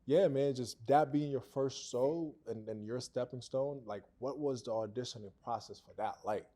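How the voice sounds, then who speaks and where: A man's voice: mid-range voice, normal speed, dark tone. A man hosting a casual interview, asking questions in a laid-back, easygoing way. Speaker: podcast host.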